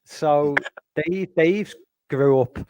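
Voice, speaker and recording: A man's voice: a little high, very slow, background noise. Rambling Liverpool man in his forties. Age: 40s. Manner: rambling, repeats and restarts words. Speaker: stream host. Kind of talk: casual chat.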